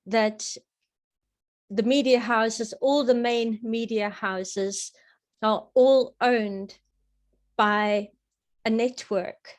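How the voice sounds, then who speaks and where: Female interview guest, slightly high voice, unhurried and deliberate, earnest commentary with pauses. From South Africa. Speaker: interview guest, political analyst and website creator. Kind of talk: interview answers, measured commentary.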